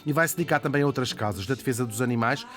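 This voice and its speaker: A Portuguese man talks quickly through a spirited radio biography, laughing and tossing in asides. He sits at a middling pitch for a man. Faint music plays beneath the studio talk. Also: a bit bright. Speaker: radio columnist. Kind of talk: lively radio biography chat.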